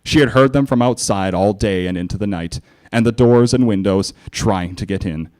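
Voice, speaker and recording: A man giving a theatrical, animated live reading of fan fiction as narrator and presenter. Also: on the low side, moderate pace.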